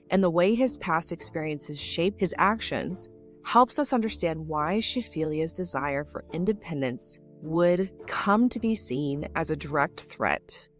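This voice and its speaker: Low-voiced woman narrating with emphasis. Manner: emphatic, stressing key words. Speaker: podcast co-host. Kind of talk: scripted true-crime narration.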